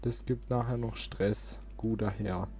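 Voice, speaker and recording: A man's voice: on the low side, steady tone, measured pace, gravelly, warm tone, breathy edge. A man doing casual let's play commentary, thinking aloud with playful little interjections. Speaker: let's player, channel host. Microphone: close desk microphone. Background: game music.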